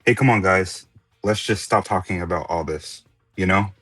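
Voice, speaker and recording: A man's voice: deep voice, normal speed, a bit bright, no room sound, soft background music. A man in an amateur anime fan dub playing a laid-back young slacker with a breezy, slangy way of talking. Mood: easygoing.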